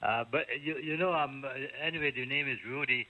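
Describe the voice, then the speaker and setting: A man from Ontario calling into a ham radio chat, with a squeaky voice and halting, repetitive speech. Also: sharp tone. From Ontario, Canada. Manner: halting, repeats words. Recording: tinny radio transmission.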